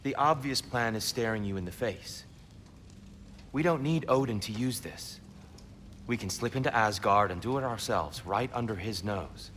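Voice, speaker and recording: An actor playing a proud, scheming god in a video game, with measured, persuasive and formal delivery. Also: male voice, fairly low pitch, fairly bright tone, slight background noise, lightly acted. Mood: urgent, persuasive. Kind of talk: video game character acting.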